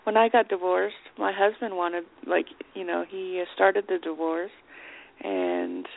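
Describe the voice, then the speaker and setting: A woman's voice: on the low side, lively intonation, unhurried, creaky. A middle-aged woman chatting casually as a radio show co-host, over a narrow phone-like line. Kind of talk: casual chat.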